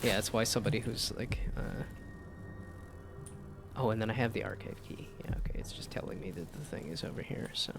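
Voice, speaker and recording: A man's voice: on the low side, fairly quick, creaky, background noise. A young-sounding man with a slightly nasal voice, chatting casually and rambling while he streams a game. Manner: laid-back, rambling with restarts. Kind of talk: casual gameplay chat.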